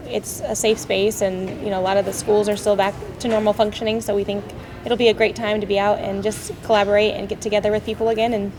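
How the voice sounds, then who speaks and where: A woman speaks as a guest in a relaxed community interview. She talks quickly, filling gaps with "um" and "like." A steady hiss of noise sits under her voice. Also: mid-range voice. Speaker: guest from a civic club. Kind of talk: casual interview chat.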